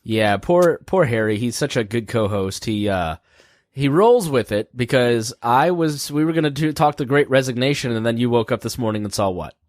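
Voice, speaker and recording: This is a hoarse male podcast host with laryngitis, rambling through a casual live-stream chat and tossing in lots of fillers. His voice sits at an ordinary pitch, and the sound is dry and close to the mic with no room around it. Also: animated, moderate pace, dry recording. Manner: rambling, jokey, many fillers.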